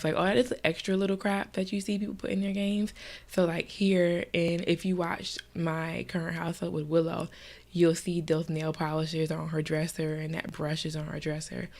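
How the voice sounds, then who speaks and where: Brief: woman vlogger, low gravelly voice, stuffy and nasal, relaxed filler-heavy chat. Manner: chatty, many fillers, soft at times. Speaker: gaming vlogger. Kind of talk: casual screen-recorded tutorial chat.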